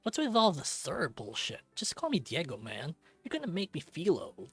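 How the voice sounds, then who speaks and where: A man's voice: a little high, wildly swooping pitch, normal speed, a bit bright, slightly rough, soft background music, voice pushed up, exaggerated voice. A man voicing a laid-back, brash and teasing lion guard in a visual novel, with a cocky, casual manner. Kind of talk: visual novel character reading.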